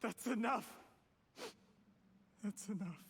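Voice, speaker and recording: A man's voice: high-pitched, takes it slowly, vocal fry, fairly bright tone, exaggerated voice. An actor playing an ambitious, scheming young male official in a video game, formal, polite and defensive. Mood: agitated. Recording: long reverb, faint background noise.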